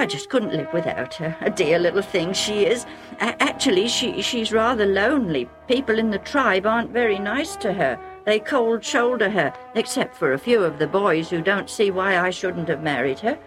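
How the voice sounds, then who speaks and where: A woman's voice: mid-range voice, animated, normal speed, music in the background, pitched above the performer's own voice, close to an everyday voice, rough recording. A woman voicing a sheepish young eldest son who stammers as he explains himself. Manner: stammering and defensive.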